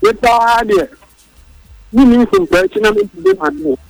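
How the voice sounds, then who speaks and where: A man calling in to a radio show, speaking haltingly and repeating himself. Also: extremely high pitch, big pitch swings, creaky, dry recording, low-quality recording. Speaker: caller.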